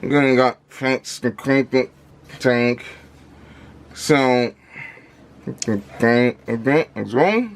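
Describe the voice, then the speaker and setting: Slow, halting man with indistinct speech. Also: medium pitch. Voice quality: indistinct, labored articulation from a speech impediment. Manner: halting, repetitive, fragmented phrasing with effortful delivery. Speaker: vlogger unboxing audio gear. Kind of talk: casual unscripted product commentary.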